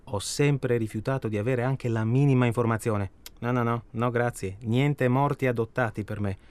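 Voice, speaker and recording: An actor playing a self-absorbed, stalling hunter in a naturalistic radio drama, rambling and irritable. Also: male voice, medium pitch, moderate pace, a little mellow, clear, resonant tone, close to an everyday voice. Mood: hesitant, self-justifying. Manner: hesitant, rambling, irritable, colloquial. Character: self-absorbed, stalling male tourist hunting human prey.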